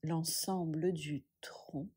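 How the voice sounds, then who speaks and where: A woman instructor guiding a yoga relaxation meditation, speaking softly and soothingly in a breathy, hushed voice with long pauses. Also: deep voice, fairly even intonation.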